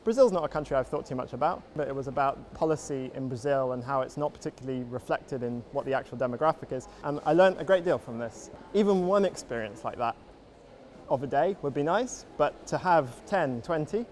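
A man's voice: mid-range voice, expressive, fairly quick, slight background noise. A man visiting an exhibition, speaking eagerly about what he learned.

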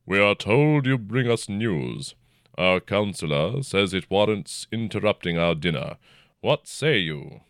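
A man voicing a heavyset, good-humored king who speaks slowly in a deep, rumbling, regal voice. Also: big pitch swings, no echo, studio quality.

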